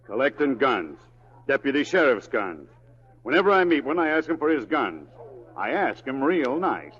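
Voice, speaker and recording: An actor playing a menacing, mock-friendly saloon boss in an old radio Western, talking with a smooth swagger. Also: male voice, medium pitch, big pitch swings, moderate pace, fairly bright tone. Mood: mock-friendly menace. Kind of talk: 1930s-40s radio acting. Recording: muffled old radio broadcast.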